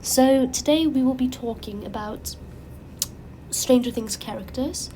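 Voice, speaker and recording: A woman with a cold, her voice raspy and congested, chatting casually and rambling animatedly as the host of her own channel. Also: a little high, a little hiss or hum, poor audio. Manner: rambling, animated, frequent fillers and restarts.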